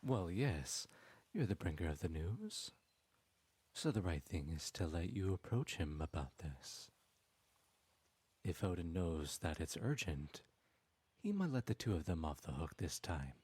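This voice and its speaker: A very deep, husky male voice, as a man acts it out, plays a cunning trickster god who charms and manipulates with slow, silky words. The sound is dry and close to the mic, with no room around it. He teases gently and never seems to hurry. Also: very animated, no echo, heavily acted. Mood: scheming. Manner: silky, teasing, slow and persuasive. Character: sly smooth-talking male trickster god. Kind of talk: ASMR audio roleplay.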